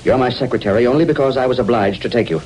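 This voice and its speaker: An actor in a vintage radio drama playing a stern, formal, middle-aged judge who bristles with indignation. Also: male voice, medium pitch, rapid-fire, a little mellow, close to an everyday voice. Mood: indignant. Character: stern, indignant veteran judge under blackmail. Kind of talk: 1930s-40s radio drama acting. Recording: noisy old radio broadcast.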